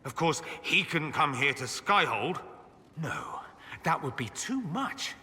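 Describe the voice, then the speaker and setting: A man's voice: a little high, big pitch swings, slow, bright, forceful tone, heavily acted. An actor playing a witty, proud, bitter young nobleman mage in a video game, with a sardonic, theatrical and eloquent delivery. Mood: indignant. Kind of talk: dramatic video game acting. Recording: long hall reverb.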